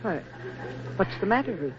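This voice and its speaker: An actress playing a kindly, wry office boss in 1940s radio drama style. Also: female voice, mid-range voice, big pitch swings, normal speed, lightly acted. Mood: kindly, brisk. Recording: muffled, noisy 1940s radio recording.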